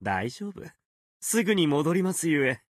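A man's voice: fairly high pitch, very animated, measured pace, husky, dry recording, studio quality, full character voice. A man voicing a proud, playful fox-spirit swordsman in a video game, speaking in polite, archaic, theatrical phrases. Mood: pained, restrained. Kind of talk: Japanese video game character voice lines.

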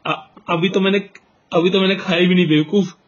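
A young man in a casual phone chat, giving placating, agreeing replies over a loudspeaker call. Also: high-pitched, fairly even intonation, moderate pace. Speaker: gamer, YouTuber. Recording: muffled lo-fi phone call recording.

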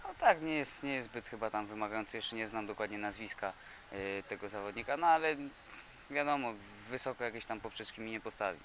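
A young man in his twenties with a bright, forward, slightly low-pitched voice, answering questions in a halting way with plenty of restarts. The sound comes from a handheld mic in a gym, with a faint hiss underneath. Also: rough recording. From Poland. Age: 20s. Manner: halting, many fillers and repeated words. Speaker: boxer. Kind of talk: interview answers. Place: indoor boxing gym. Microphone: handheld reporter mic.

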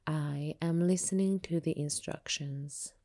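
A woman guides a meditation in a fairly low, mellow voice. She speaks very slowly and soothingly, giving each instruction in a measured, unhurried way, as a yoga teacher. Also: fairly even intonation.

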